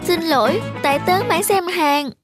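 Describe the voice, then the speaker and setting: A woman's voice: very high-pitched, moderate pace. A woman voicing a polite, timid little girl in a cartoon, speaking in a childlike manner over music. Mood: apologetic. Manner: soft-worded, apologetic, childlike. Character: timid, polite little girl who loves pretty things. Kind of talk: cartoon voice acting.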